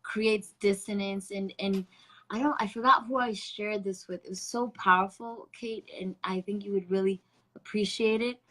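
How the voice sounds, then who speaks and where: A woman's voice: mid-range voice, steady tone, moderate pace, pushed and bright, rough recording. A woman with a Spanish accent hosting a casual online interview, effusive and affectionate, with spiritual wording. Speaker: interview host.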